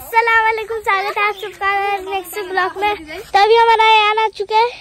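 A woman's voice: extremely high pitch, little pitch movement, fairly quick, background noise. A female speaker narrating a casual family vlog outdoors in a playful, teasing manner. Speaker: family member filming a vlog. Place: outdoors in a green village lane.

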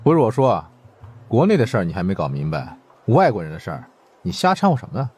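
A man voicing a gruff, dismissive middle-aged department chief with a husky, condescending drawl in an audiobook. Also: fairly low pitch, huge pitch swings, fast talker, a little mellow, soft background music, voice pushed up, exaggerated voice.

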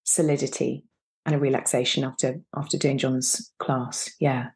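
A woman's voice: extremely low pitch, flat delivery, normal speed, a little mellow. A woman in her thirties talking casually as an interview guest, thinking aloud as she goes, on a video call. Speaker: guest, actor. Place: indoor room, on a video call.

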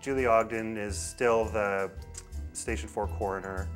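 A man's voice: lowish voice, fairly even intonation, unhurried, soft background music. A man in his thirties explaining characters in an indoor interview. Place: indoor office with artwork on walls.

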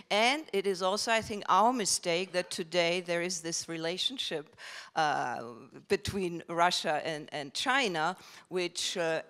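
A woman from Germany, a professor, speaking from the audience at a conference with earnest, halting remarks full of fillers, into a handheld mic in a large hall. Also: on the low side, a bit bright. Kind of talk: conference floor comment.

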